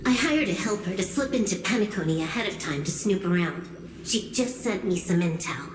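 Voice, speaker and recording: A woman's voice: medium pitch, lively intonation, brisk, natural voice. An actress voicing a cool, cautious young woman hacker and strategist in an anime game, dry and matter-of-fact. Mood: cool, serious. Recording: echoey room, music behind. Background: game music.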